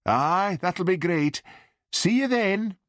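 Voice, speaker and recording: Man voicing a high-pitched plaintive Highland mother. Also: expressive, fairly bright tone, no echo, clean studio sound, full character voice. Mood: brisk. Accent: Scottish Highland. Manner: plaintive, coaxing, brisk. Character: busy, plaintive, coaxing Highland Scottish mother. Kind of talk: audiobook character dialogue.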